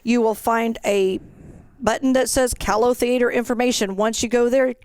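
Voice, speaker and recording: Clear, higher-pitched woman giving instructions. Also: steady tone, normal speed, darkish tone, no room sound, clean studio sound. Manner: instructional, step-by-step explaining. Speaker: contest organizer presenting an information session. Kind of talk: informational presentation to directors.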